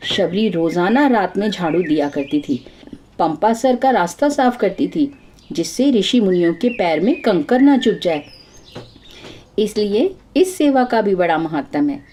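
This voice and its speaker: A woman storyteller voicing a pious, headstrong young daughter-in-law in a folk tale, earnest, instructive and gently bossy. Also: mid-range voice, expressive, measured pace, a little hiss or hum, natural voice.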